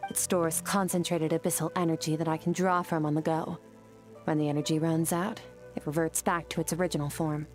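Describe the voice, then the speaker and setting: A woman playing an aloof, stoic young warrior in a game, speaking in a cool, measured, matter-of-fact way. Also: deep voice, flat delivery, faint music behind, close to an everyday voice. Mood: calm, detached. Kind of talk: game character acting.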